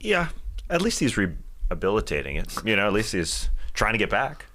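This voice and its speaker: Lively, bright, lowish-voiced man bantering about sports. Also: moderate pace. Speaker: sports podcast co-host. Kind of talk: casual sports chat.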